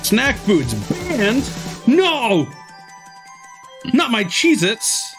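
A man's voice: extremely high pitch, big pitch swings, drawn-out words. A man hosting a live stream with casual reaction commentary, music playing behind him. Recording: music and trailer audio behind. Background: game showcase music.

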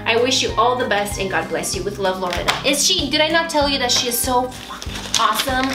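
A woman's voice: on the high side, fairly quick, reverberant, over music. A woman, gushing with squeals as she unboxes beauty products in a vlog. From Romania. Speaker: beauty vlogger. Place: small bright indoor home studio.

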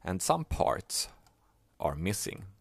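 A man whose first language is Swedish, explaining a software task step by step in a plain, unhurried way. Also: low voice, sing-song, vocal fry, husky, dry recording. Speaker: software tutorial maker. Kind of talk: screen-recorded software tutorial.

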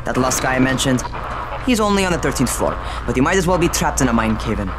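A man's voice: mid-range voice, big pitch swings, fast talker, noisy background, close to an everyday voice. An actor playing a brash, prickly young male survivor in a video game, confrontational and sarcastic. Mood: irritated. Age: young adult. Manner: confrontational, sarcastic, foul-mouthed. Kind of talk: dramatic video game acting. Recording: music behind the voice.

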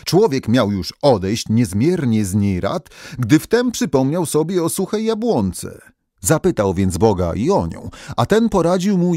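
Animated male folk-tale narrator. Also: mid-range voice, no room sound, clean studio sound.

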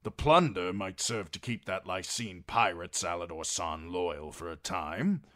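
A man voicing a stern, grim fantasy king in an audiobook, measured, curt and authoritative. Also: deep voice, bright, forceful tone. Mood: cold, measured.

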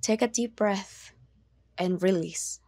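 A woman narrating a guided meditation in a soothing, measured manner, leaving long pauses between instructions. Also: mid-range voice, dark tone, breathy edge, no room sound, clean studio sound.

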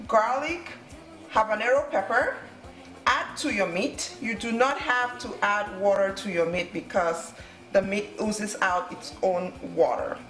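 A woman's voice: fairly high pitch, a bit bright. A woman hosting a cooking show, giving step-by-step instructions in short phrases. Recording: music under the voice.

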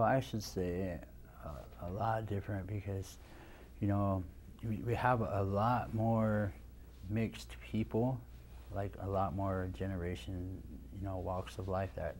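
A man's voice: lowish voice, a little mellow, slight background noise. A man in his thirties from Nebraska, a dance instructor and guest on a studio talk show, talking casually with lots of restarts and "you know" into a lapel mic. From Nebraska, USA. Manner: easygoing, rambling with many restarts and "you know". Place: TV studio set, indoors.

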